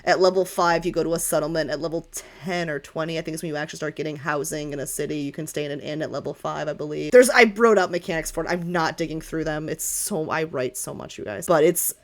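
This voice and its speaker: A woman's voice: low voice, steady tone, quick pace, few pauses. A woman talking as game master of a tabletop roleplay, rambling and teasing in a playful, casual way.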